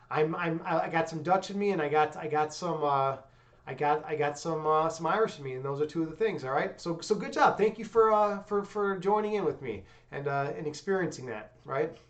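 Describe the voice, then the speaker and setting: A young man with a fairly high voice, hosting a livestream and chatting loosely with his viewers. He talks in an excitable, rambling way, swears freely and tosses in "dude" and "man" all the time. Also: highs cut off. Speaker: livestream host. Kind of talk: casual livestream chat with viewers.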